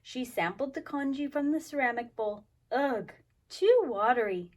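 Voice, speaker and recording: A woman's voice: sits high, animated, unhurried, darkish tone. A woman talking and reading aloud expressively to young children as a kindergarten teacher, explaining things simply. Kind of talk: children's story time, expressive read-aloud.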